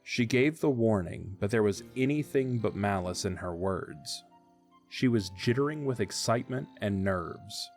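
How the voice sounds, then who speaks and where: Low-voiced man narrating a story. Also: animated, measured pace, darkish tone, soft background music. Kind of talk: scripted audio drama narration.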